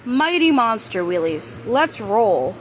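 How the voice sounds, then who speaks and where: A woman reading a children's book aloud, expressive on characters' lines and sometimes stumbling and correcting herself. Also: on the high side, slight background noise. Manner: halting reader, stumbles and corrects words. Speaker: storybook reader.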